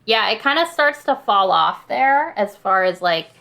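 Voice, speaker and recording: A woman's voice: medium pitch, expressive, moderate pace, creaky, over a noisy crowd. A woman hosting a hockey trivia quiz on a podcast in casual, conversational talk.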